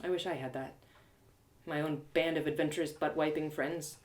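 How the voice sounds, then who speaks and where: A woman reading a first-person fantasy story live, in an expressive, confiding, dramatized narration. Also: low voice, normal speed. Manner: expressive, confiding, acted-out delivery. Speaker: storyteller and audio drama performer.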